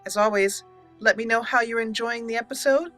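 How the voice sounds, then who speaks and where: Female gaming host, bright tone, expressive story-style commentary over faint music. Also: fairly high pitch, fairly quick. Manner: expressive, talks to the game characters. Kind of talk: roleplay game commentary with storytelling narration.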